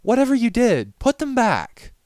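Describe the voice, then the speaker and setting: A man's voice: extremely high pitch, huge pitch swings, normal speed, a little mellow, no echo, clean studio sound, pitched above the performer's own voice, heavily acted. A man voicing a haughty, exacting female pony in a storybook reading, with imperious, theatrical delivery. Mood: panicked pleading.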